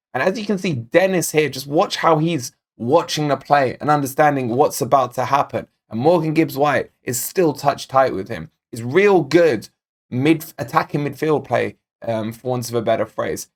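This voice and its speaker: A man hosting a football fan channel, talking casually and directly to viewers in a match analysis, his voice still a little croaky. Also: medium pitch, normal speed. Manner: chatty, direct, addresses viewers as guys. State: slightly croaky voice. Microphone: desk microphone.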